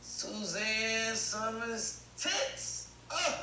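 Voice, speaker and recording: Man playing an agitated high-voiced teenage boy. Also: expressive, drawn-out words, exaggerated voice. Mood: excited. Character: agitated teenage boy, someone's worried younger brother. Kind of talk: live table read acting. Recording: echoey, hissy video call.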